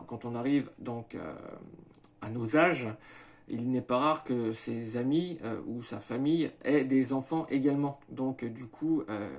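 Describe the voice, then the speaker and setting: A man in his thirties talks to camera about himself, pausing often on filler sounds and restarting his sentences. He lays things out methodically, point by point. His pitch sits in the middle range for a man. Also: vocal fry, a little mellow. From France, Maine-et-Loire. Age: 30s. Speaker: small farm owner presenting his project. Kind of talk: hesitant self-presentation to camera.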